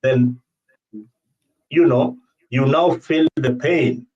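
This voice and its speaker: A man's voice: medium pitch, steady tone, drawn-out words, a little mellow. A man hosting a political livestream discussion, speaking emphatically and repeating his phrases for weight. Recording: dull, distant livestream call audio.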